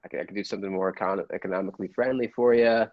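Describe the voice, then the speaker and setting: A man playing a chatty, slightly snobbish clothing salesman in an improvised comedy scene, with halting, colloquial patter. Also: fairly low pitch, fairly even intonation, no room sound, dull audio, close to an everyday voice. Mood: helpful, casual. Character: chatty male cape-shop salesman, faintly snobbish about fashion.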